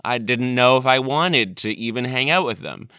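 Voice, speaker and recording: Male podcast host, crisp bright voice, casual and reflective, close dry sound. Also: medium pitch, normal speed, no room sound. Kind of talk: casual, reflective interview chat.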